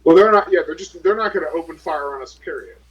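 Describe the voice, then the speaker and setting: A man's voice: on the high side, fast talker, muffled recording. A man chatting casually as a player in a tabletop game, insistent and restarting his questions.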